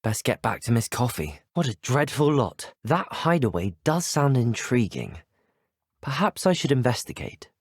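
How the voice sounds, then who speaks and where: An actor with a British accent playing a polite, earnest, curious teenage wizard student in a video game, speaking in a well-spoken, measured manner. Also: male voice, medium pitch, sing-song, husky, no echo, clean studio sound. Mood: polite, inquisitive. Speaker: video game player character. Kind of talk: scripted game voice acting.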